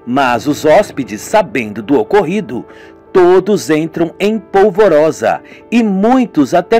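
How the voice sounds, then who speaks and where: Husky guy telling a spooky radio story, voice rises and falls a lot, music behind. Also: on the high side, a little mellow. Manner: dramatic storytelling. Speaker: narrator. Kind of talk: homemade radio drama narration.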